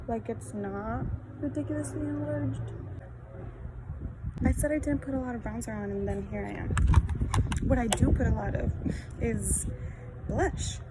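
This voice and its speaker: A young adult woman whose first language is Spanish, chatting casually and rambling with lots of fillers while she does a makeup tutorial outdoors in a backyard. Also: on the high side. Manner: casual, rambling, self-deprecating, frequent fillers. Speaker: beauty vlogger. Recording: outdoor wind and ambient noise.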